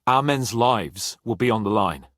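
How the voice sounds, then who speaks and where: A man voicing a spirited young nobleman in a video game, with an expressive, irreverent, bossy but loyal manner. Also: fairly low pitch, a little slow, no room sound, studio quality. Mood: grave, subdued. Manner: expressive, irreverent, swearing freely. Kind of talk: video game character voice acting.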